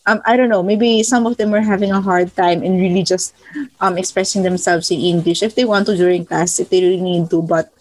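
A woman's voice: lowish voice, steady tone, brisk, a little mellow, no room sound, rough recording. A woman from the Philippines, co-hosting a casual podcast and chatting eagerly, laughing often. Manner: chatty and nostalgic, laughs easily, many fillers. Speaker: podcast co-host. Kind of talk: casual chat.

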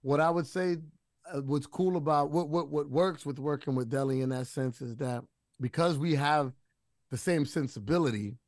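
Man, husky voice, loose rambling delivery, dry close sound. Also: on the high side, moderate pace, darkish tone, dry recording. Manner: loose, profane, many restarts and fillers. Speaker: rapper guest. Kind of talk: casual interview talk.

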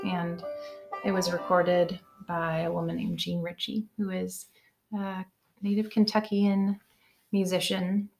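A woman talking as a guest musician in a casual interview, relaxed and quick to laugh as she explains. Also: fairly low pitch, creaky.

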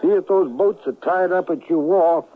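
An actor playing the menacing ringleader of a band of plotters, authoritative and deliberate in old-fashioned radio drama style. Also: male voice, high voice, narrow, tinny audio. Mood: brisk command. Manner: authoritative, deliberate, smoothly threatening. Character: commanding, menacing male ringleader of secessionist plotters. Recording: band-limited radio broadcast, music behind.

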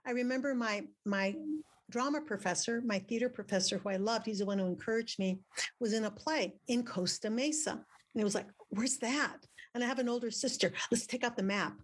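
Older woman born in mexico, spanish first language, slightly high voice, chatty storyteller, laughs a lot. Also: a little fast. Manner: lively storyteller, laughs often, many restarts. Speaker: guest. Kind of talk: casual storytelling interview.

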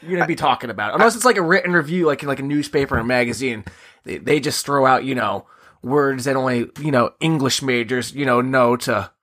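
A man co-hosting a podcast in casual chat, with a hoarse, gravelly voice, halting and saying "like" a lot. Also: medium pitch, a bit bright. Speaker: podcast co-host.

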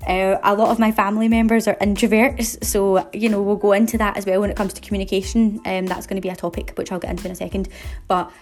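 A woman's voice: medium pitch, flat delivery, quick pace, a little mellow. A woman in her twenties with a Glasgow accent, hosting her own podcast in a bubbly, upbeat, chatty way. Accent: Glaswegian. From Scotland. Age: 20s. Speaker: podcast host. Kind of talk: solo podcast introduction.

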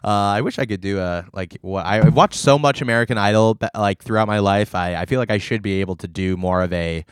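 A man's voice: on the low side, brisk, smooth, no echo, clean studio sound. A man co-hosting a casual beer review podcast, talking in a laid-back, rambling way with casual swearing. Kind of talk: casual banter.

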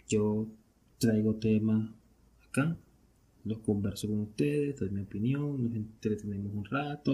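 A man's voice: medium pitch, creaky, warm tone, breathy edge. A Venezuelan man hosting a casual solo podcast, talking conversationally and often posing questions that he then answers himself. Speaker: podcast host.

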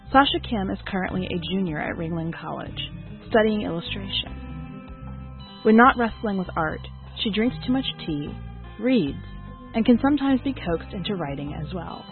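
A woman's voice: mid-range voice, narrow, tinny audio. A woman hosting a podcast, reading scripted introductions and short biographies. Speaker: podcast host and editor introducing poetry.

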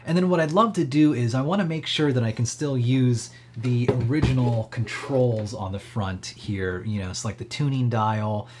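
A man with a slightly husky voice hosting a casual live tech demo, thinking aloud in a rambling, conversational way. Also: medium pitch, moderate pace, hardly any pauses, darkish tone, slight background noise. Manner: conversational, rambling, frequent hedging asides. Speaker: livestream host demonstrating electronics projects.

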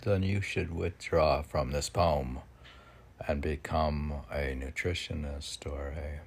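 Very low, gravelly man reading his poems. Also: fairly even intonation, a little hiss or hum. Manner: self-deprecating, halting, talks back to himself. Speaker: poet reading and commenting on own poems. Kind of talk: poem reading mixed with rambling self-commentary.

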